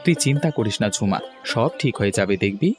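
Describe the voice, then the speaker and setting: A man's voice: lowish voice, expressive, quick pace, music in the background, lightly acted. A man playing a kind, earnest village fish seller in a cartoon, plain-spoken and easily discouraged. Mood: kindly. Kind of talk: cartoon voice acting.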